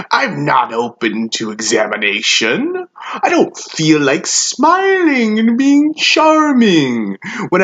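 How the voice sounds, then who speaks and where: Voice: mid-range voice, sharp tone, lo-fi sound, heavily acted. An actor playing a venomous, savage misfit, menacing and taunting in an erratic theatrical monologue.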